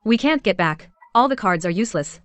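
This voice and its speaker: An actress playing an entitled, spiteful sister-in-law in a dubbed drama, accusing and demanding, quick to threaten. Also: female voice, mid-range voice, lively intonation, smooth, no echo, natural voice.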